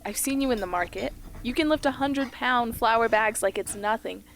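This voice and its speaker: A woman's voice: a little high, normal speed, slight background noise, natural voice. A woman playing a guarded, modest but stubborn teenage girl hunter in an amateur radio drama, plain-spoken in delivery. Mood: argumentative.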